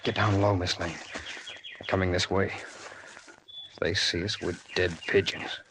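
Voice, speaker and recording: Low-voiced, urgent young veteran. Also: male voice, little pitch movement, unhurried. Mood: alarmed, urgent. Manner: hushed, urgent. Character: wary young war veteran guiding a woman through danger. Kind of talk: 1940s radio acting. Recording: noisy old radio drama recording.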